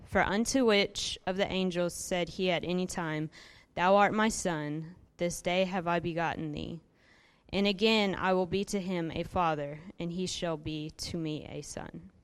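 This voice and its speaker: A woman's voice: lowish voice, steady tone, a little slow, clear, resonant tone. A woman reading scripture aloud carefully, then announcing the next passage. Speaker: scripture reader.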